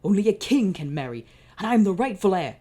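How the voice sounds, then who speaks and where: A woman's voice: medium pitch, very animated, brisk, warm tone, voice pushed up. A woman voicing a scheming, lisping wannabe-pharaoh man who plays the villain in a fan story reading. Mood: furious. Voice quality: lisp.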